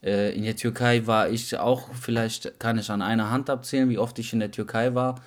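A man's voice: mid-range voice, little pitch movement, a little fast. A young man from Germany co-hosting a casual podcast chat, using street slang, filling his talk with fillers and restarting his sentences. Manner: slangy, calls the other man brother, many fillers and restarts. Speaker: podcast co-host.

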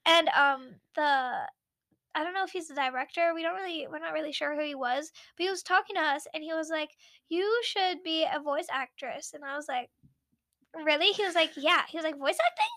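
High-voiced giggly young woman chatting. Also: fairly quick, pushed and bright. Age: young adult. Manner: giggly, chatty, many likes and ums. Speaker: podcast co-host. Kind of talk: casual chat.

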